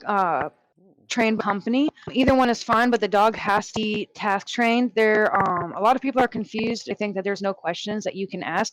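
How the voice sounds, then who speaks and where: A woman's voice: medium pitch, dry recording, low-quality recording. Matter-of-fact woman, dry close sound. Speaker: podcast guest. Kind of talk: casual explanatory talk. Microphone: headset.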